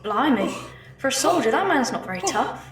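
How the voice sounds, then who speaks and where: A woman playing a plucky, sharp-witted young street girl in an audio drama, cheeky, keen and colloquial. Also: sits high, sing-song, moderate pace, distant mic. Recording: echoey room, off mic, faint noise.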